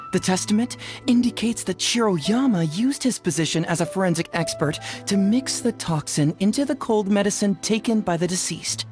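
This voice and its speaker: Breezy young detective over game music. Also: male voice, high-pitched. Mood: assured, probing. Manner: smooth, glib, explanatory, wry. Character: confident, clever young male detective, breezy and teasing. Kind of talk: English video game voice acting. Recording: studio voice under game music. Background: game background music.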